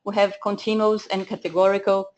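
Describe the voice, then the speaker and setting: A woman's voice: medium pitch, little pitch movement, fairly quick, a little mellow, lo-fi sound, slight background noise. A woman with a Portuguese accent giving a lesson over shared slides, speaking hesitantly with many fillers and restarts. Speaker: data analytics trainer. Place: screen-share presentation, voice off camera.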